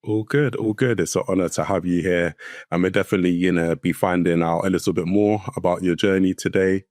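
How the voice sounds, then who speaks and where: Deep-voiced podcast host. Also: male voice, steady tone, a little fast, darkish tone, no room sound, clean studio sound. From London, England. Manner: friendly and encouraging, casual slang like "bro". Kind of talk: hosting a conversational interview.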